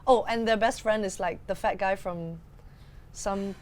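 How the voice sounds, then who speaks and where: A woman's voice: medium pitch, measured pace, darkish tone. A woman co-hosting a podcast, chatting casually about films in an exasperated, very informal way. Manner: exasperated, informal, heavy use of "like". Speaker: podcast co-host. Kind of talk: casual film discussion.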